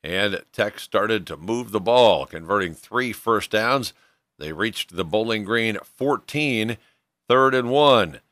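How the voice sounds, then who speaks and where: A man hosts a sports recap show in scripted narration. His voice sits a little low and rings bright and forceful, recorded close and dry as he walks through the game. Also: expressive, slow pace, no echo.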